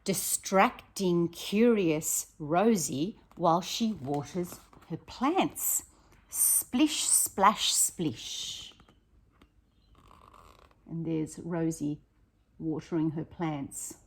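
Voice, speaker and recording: A woman primary school teacher reading a picture book aloud to children, expressive and prompting her listeners with questions, in a slightly husky voice. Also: lowish voice, very slow, a little hiss or hum.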